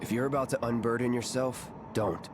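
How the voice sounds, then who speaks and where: A man's voice: medium pitch, steady tone, fairly quick, background noise, close to an everyday voice. A man playing an aloof, cynical young mercenary and ex-soldier in a video game, curt and detached. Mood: curt, dismissive.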